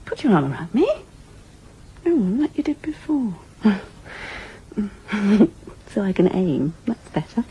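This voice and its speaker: A woman's voice: medium pitch, sing-song, slow pace, pauses often, dark tone, slightly rough, full character voice, rough recording. An actress playing a playful, wilful young niece in a radio drama, gushing and teasing in a girlish, coquettish way. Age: young adult.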